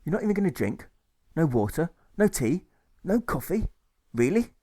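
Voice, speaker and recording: Guy doing a pushy clerk who cant believe it, high voice, short questions, audiobook. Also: big pitch swings, moderate pace, mellow. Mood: incredulous. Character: incredulous, badgering military clerk.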